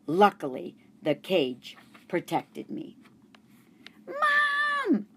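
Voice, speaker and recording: A woman reading a children's chapter book aloud, narrating in first person with an expressive storytelling voice. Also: on the low side, slow pace, a little hiss or hum. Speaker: storybook reader.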